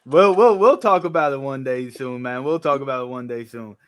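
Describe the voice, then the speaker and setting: A man in his early thirties with a smooth, resonant voice hosts a laid-back conversation. He sounds easygoing and agreeable, restarting his sentences as he works through his thoughts, at an everyday middle pitch. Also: brisk. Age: 30s. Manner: relaxed, agreeable, thinks aloud with restarts. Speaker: podcast host. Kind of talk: casual chat.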